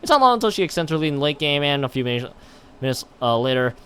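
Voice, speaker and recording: A man's voice: fairly high pitch, very animated, quick pace, pushed and bright, clear, resonant tone. A man commentating on a game stream and reading game text aloud in a rambling way, often stumbling and correcting himself. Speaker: live streamer.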